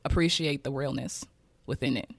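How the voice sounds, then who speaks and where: A young American man in his early twenties speaks as a guest in a casual interview. His voice sits at a middle pitch, with a candid, informal way of talking. The sound is dry and free of room echo. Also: fairly even intonation, moderate pace, vocal fry, fairly bright tone, slightly rough. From Kansas City, United States. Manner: candid, informal, swearing freely. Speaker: interviewed poet and musician.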